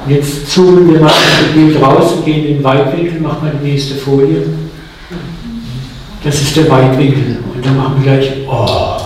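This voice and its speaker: A man's voice: a little high, fairly even intonation, normal speed, a little hiss or hum. A man in his sixties preaching a sermon in a casual, personal and humorous way. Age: 60s. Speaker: guest preacher. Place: church hall. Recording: echoey hall, mic from far off.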